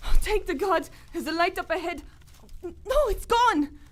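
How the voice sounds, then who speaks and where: High-pitched frightened woman played by an actress. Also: lively intonation, measured pace, a little hiss or hum. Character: frightened, pleading foreign expedition woman. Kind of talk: live radio theater acting.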